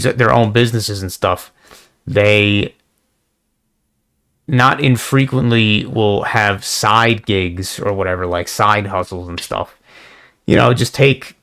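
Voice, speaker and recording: A young man with a slightly hoarse voice, rambling casually in a monologue, full of fillers, restarts and swearing. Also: fairly low pitch, pushed and bright. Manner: halting, many fillers and restarts; casual and profane. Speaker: video response creator.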